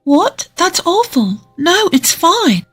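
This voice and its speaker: A storyteller voicing a cheerful, kind young wife, gently teasing and reassuring. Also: very high-pitched, sing-song, takes it slowly, faint music behind, pitched above the performer's own voice, full character voice. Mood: startled, accepting.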